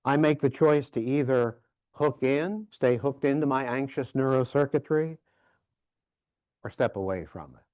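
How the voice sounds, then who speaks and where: A male professor gives an informal, reflective workshop lecture. He speaks at a very unhurried pace in a mid-pitched voice, often repeating words and leaning on key phrases. The sound is dry. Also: darkish tone, dry recording. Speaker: professor giving a keynote workshop.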